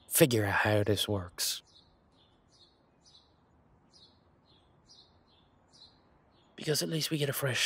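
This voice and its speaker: A man playing an earnest, teasing werewolf boyfriend in an intimate roleplay audio drama, speaking casually and haltingly with a husky voice. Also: medium pitch. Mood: reflective, gentle. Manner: casual, halting, conversational with trailing pauses. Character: male werewolf boyfriend, earnest, hopeful, teasing former bodyguard.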